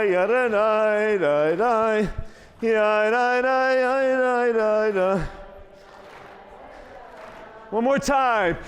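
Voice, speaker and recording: A man solemnly leading a congregation in communal song and blessing, exhorting those gathered. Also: high voice. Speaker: song leader and speaker giving a blessing. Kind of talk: communal sing-along and spoken blessing. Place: large conference hall, on stage. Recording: long hall reverb.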